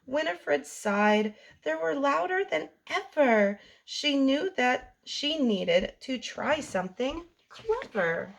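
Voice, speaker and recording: A woman reading a picture book aloud to young children as a storytime host, in an expressive sing-song delivery. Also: sits high, slow, vocal fry, hardly any pauses, rough recording.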